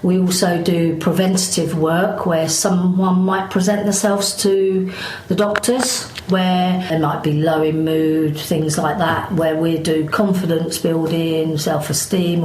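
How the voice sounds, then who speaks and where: A woman with a fairly low voice explains things in an interview as a service manager. The room is clearly echoey, with faint noise behind her. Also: darkish tone. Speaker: mental health service manager.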